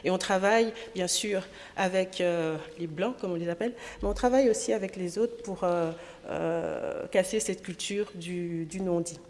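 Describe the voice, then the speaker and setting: A woman's voice: fairly low pitch, moderate pace, few pauses, a little mellow. A woman originally from Congo, an association president and trainer, giving a pragmatic conference talk with frequent hesitations. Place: parliament conference hall. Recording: long hall reverb.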